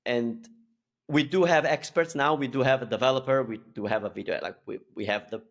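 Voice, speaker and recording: A man speaking in a relaxed, easygoing way as a guest in a casual interview, often restarting his sentences. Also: medium pitch, brisk. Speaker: guest, language school founder.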